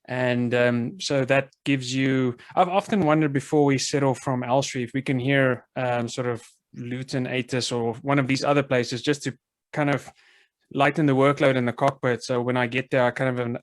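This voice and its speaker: A man's voice: mid-range voice, fairly even intonation, fairly quick, no echo. A man speaking as a student on a video call, thinking aloud in a tentative, hesitant way as he answers. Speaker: student pilot.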